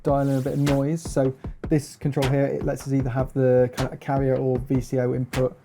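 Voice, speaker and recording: A man giving a casual hands-on demonstration as a gear reviewer, explaining in a halting, hesitant way. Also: mid-range voice, completely flat delivery, background noise.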